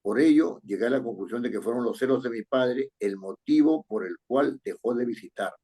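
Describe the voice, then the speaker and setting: Husky-voiced man teaching writing. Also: medium pitch, mellow. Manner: reads text aloud slowly, then explains with rising questions like "¿no es cierto?". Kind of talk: correcting a student's paragraph in class.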